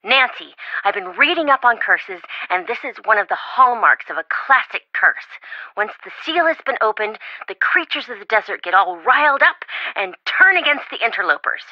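A woman's voice: on the high side, sing-song, moderate pace, no room sound, dull audio. A woman playing a chatty, flighty, well-meaning young best friend in a video game, rambling and blurting things out over-eagerly.

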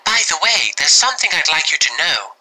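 A man's voice: a little high, very animated, fairly quick, over loud music, low-quality recording. A man voicing a polite but oddly creepy British teenage boy, chirpy and innocent-sounding, in a cartoon parody dub.

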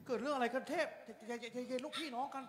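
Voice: sits high, fairly even intonation, quick pace, in a large hall, a crowd in the background, natural voice. A performer playing a father who scolds his two grown sons in a comic sketch, blustering and scolding. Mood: soothing.

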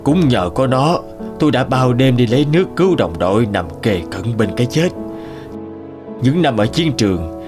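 A man narrating the words of a reflective older businessman, a war veteran recalling hardship in a measured, reminiscing way. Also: medium pitch, animated, music in the background, natural voice. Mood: earnest.

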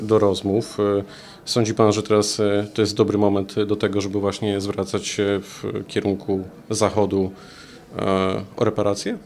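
A man interviewing a politician on radio, asking long questions broken up by frequent hesitation sounds. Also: on the low side. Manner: hesitant, frequent "e" fillers between phrases.

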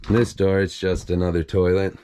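Male vlogger, low-pitched and unhurried, casual walk-through narration on camera mic with some background noise. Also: little pitch movement, dark tone. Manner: casual, talking to viewers while walking around. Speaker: host of an abandoned-places exploration channel. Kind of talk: casual exploring vlog narration. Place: on the move through a derelict property, RV, overgrown yard and house.

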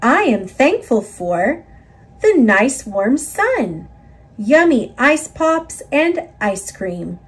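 A woman narrating a children's picture book in an expressive, instructive read-aloud style for young listeners. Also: on the high side, very slow, husky.

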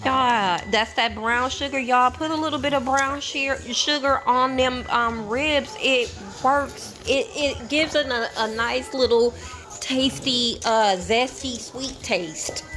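A woman's voice: high voice, slow pace, a bit bright, slight background noise. A woman chatting casually through a home cook-along in her kitchen, playful and talkative. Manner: chatty, playful, says y'all a lot. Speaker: cooking vlogger.

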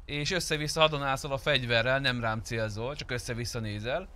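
A man with a mid-pitched voice streams his gameplay. He talks casually and argues bluntly, with swearing. Noisy ambience and vehicles are clearly audible behind him. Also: pushed and bright. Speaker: streamer playing a roleplay game. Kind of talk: casual gaming chat.

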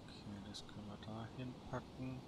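A man's voice: lowish voice, fairly even intonation, moderate pace, very noisy. A man giving casual solo let's play commentary, thinking aloud to himself. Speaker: gaming video host.

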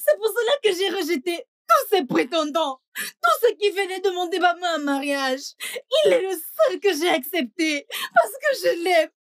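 A woman's voice: very high-pitched, very animated, a little slow, full character voice. An actress dubbing a hurt young wife who pleads tearfully with her mother. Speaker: daughter, wife. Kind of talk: dubbed film acting.

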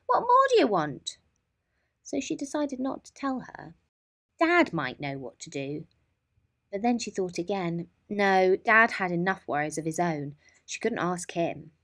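A woman's voice: lowish voice, a bit bright. A woman storyteller narrating a children's bedtime story.

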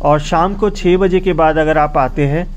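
Chatty male travel vlogger, fairly high voice. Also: steady tone, unhurried, lo-fi sound. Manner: chatty, explains tips to viewers directly. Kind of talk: casual travel vlog narration. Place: outdoors on a windy foggy mountain pass.

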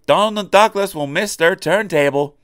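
A man voicing a polite, gently mocking male engine in a storybook reading. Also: high-pitched, normal speed, clean tone, no room sound, studio quality, voice pushed up. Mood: wry. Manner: wry, politely teasing.

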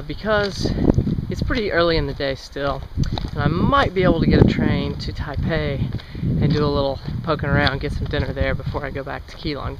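A young man narrating his own walk on a travel vlog, chatty and musing, with wry asides. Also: a little high. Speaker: travel vlogger. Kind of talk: casual first-person travel narration. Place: outdoors on an overgrown trail and railway line. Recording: outdoor camera audio with clear ambient noise.

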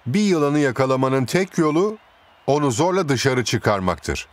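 A man's voice: mid-range voice, lively intonation, unhurried, close to an everyday voice. A man voicing a determined football team captain in a cartoon, firm and instructing. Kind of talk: dubbed cartoon dialogue. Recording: clear music behind.

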